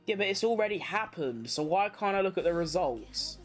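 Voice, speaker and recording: A woman's voice: on the low side, expressive, brisk, soft background music. A woman hosting a watchalong, giving casual live motorsport commentary in an informal, slangy way, as if thinking aloud. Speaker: livestream watchalong host.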